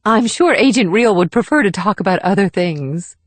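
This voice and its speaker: A woman playing a gracious, gently firm middle-aged mother in an audiobook. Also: mid-range voice, expressive, moderate pace, dry recording, natural voice. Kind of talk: audiobook character dialogue.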